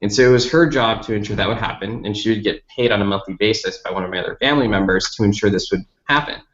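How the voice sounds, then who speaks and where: An American man with a fairly low voice explains things as an interview guest. He is articulate and methodical, building his account step by step. The sound is clearly roomy and a little dull. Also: fast. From Dallas, United States. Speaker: company founder, interview guest. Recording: roomy, slightly dull lo-fi audio.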